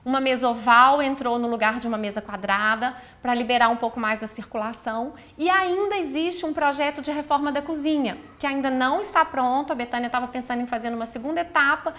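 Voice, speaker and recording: Bright, high-voiced Brazilian woman presenting. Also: quick pace, few pauses. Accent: Brazilian Portuguese. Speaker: architect presenting her interior design project. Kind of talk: informal explanatory presentation.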